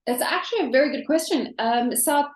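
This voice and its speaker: A woman's voice: high voice, a little fast, reverberant. A woman from South Africa with a South African accent, an English teacher chatting as a guest in a casual interview. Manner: chatty, animated, frequent fillers.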